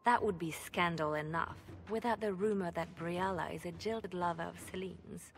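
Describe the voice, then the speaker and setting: A low female voice with faint noise around it an actress in character. She is a secretive spymaster in a fantasy game. She speaks in a measured, calculating way and stays composed. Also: fairly even intonation, close to an everyday voice. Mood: grave, measured. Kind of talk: dramatic game cutscene dialogue.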